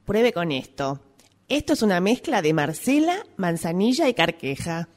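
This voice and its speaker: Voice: mid-range voice, very animated, moderate pace, natural voice. An actor playing an enticing, dreamlike healer in a comic radio drama, with long reverb. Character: dreamlike healer enticingly offering herbal remedies.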